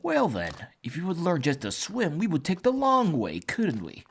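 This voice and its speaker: A man voicing crude, bullying male pony slavers with a rough, sneering, foul-mouthed delivery over music. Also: on the high side, wildly swooping pitch, normal speed, pitched above the performer's own voice, exaggerated voice. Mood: grumbling.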